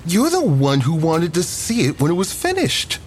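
A man's voice: on the high side, huge pitch swings, moderate pace, soft background music. A man playing an easygoing, reassuring street muralist in an audio drama, coaxing and playful. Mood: easygoing, teasing.

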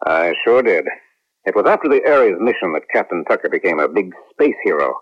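Manipulative space colonel, resentful, very low gravelly-rough voice, vintage radio drama. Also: male voice, very animated, brisk, heavily acted. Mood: bitter, boastful. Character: scheming, resentful male colonel, smooth manipulative instructor. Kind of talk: late-1970s radio drama acting. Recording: muffled, lo-fi vintage radio recording.

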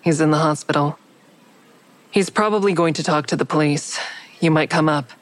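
A woman's voice: low voice, normal speed, close to an everyday voice. A woman playing a guilt-ridden, weary heroine who narrates her own story in an audio drama, blunt and subdued. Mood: guarded, probing. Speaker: narrator and lead actor. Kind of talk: audio drama first-person narration and dialogue.

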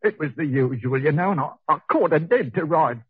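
An actor playing a coarse, sickly Cockney convict in a 1940s radio drama, husky and slangy, on an old muffled recording. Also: male voice, a little high, lively intonation, fairly quick, dry recording. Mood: anguished confessing. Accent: Cockney English. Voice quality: husky, rough. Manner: slangy, chatty, needling. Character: coarse, hot-tempered, sickly working-class male convict.

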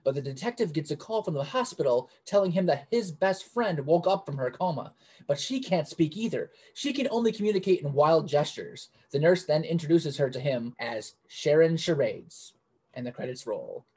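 A man reads out a scripted movie pitch at an even pace. His voice sits a little high and has a husky edge. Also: moderate pace. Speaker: contest winner. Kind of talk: read-out pitch.

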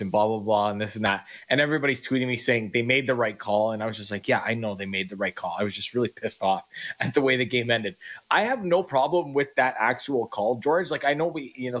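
A man's voice: mid-range voice, a bit bright. A man co-hosts sports talk radio in an ordinary mid-range voice. He rambles and restarts his sentences, acting out other people's reactions as he goes.

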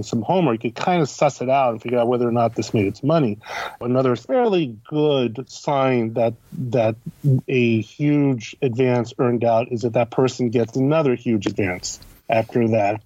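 A man's voice: mid-range voice, dark tone, no room sound, muffled recording. A man speaking as a podcast guest and critic, explaining in conversation and often posing questions as he goes. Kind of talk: conversational interview commentary.

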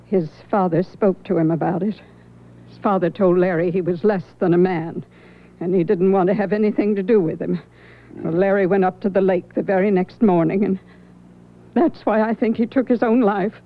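A woman playing a prim, guarded older mother in a radio drama, speaking haltingly as if confiding. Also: mid-range voice, fairly even intonation, natural voice. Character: older widowly mother, guarded, disapproving of her son. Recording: thin phone-like old radio recording.

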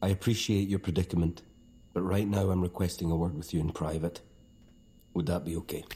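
An actor playing a reserved, polite and guarded spaceship lieutenant in an audio drama. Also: male voice, deep voice, flat delivery, brisk, warm tone, husky. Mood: polite, firm.

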